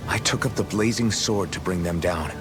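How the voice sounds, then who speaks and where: A man's voice: deep voice, moderate pace, music in the background, close to an everyday voice. A man playing a blunt, earnest young hero, once a slave, in a video game cutscene. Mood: earnest, solemn. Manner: direct, questioning, plain-spoken.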